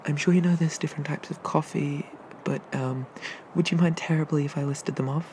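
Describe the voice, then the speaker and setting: A man voicing a shy, friendly sea dragon barista in a soft-spoken ASMR roleplay, rambling and hesitant as he eagerly explains things. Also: on the high side, steady tone, brisk, a little hiss or hum, lightly acted. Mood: helpful, gentle.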